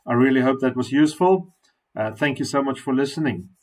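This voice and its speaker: South African male accountant, mid-pitched, chatty explainer who works through the numbers step by step. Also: a little fast, darkish tone. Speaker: tax accountant. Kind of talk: screen-share explainer walking through tax calculations.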